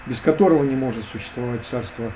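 A man talking as a guest in an interview, hesitant and full of drawn-out fillers. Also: medium pitch, noisy background. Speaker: guest expert. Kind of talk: conversational interview.

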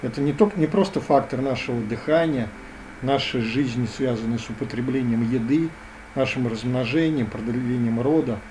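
A man's voice: medium pitch, normal speed, a little mellow, a little hiss or hum, rough recording. A man speaking as a guest in a philosophical interview, earnest and lecturing, often circling back to the same phrases.

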